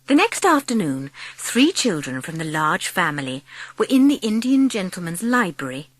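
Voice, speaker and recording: A woman's voice: on the low side, big pitch swings, normal speed, no room sound. A woman reading scripted story narration for a dramatised audiobook.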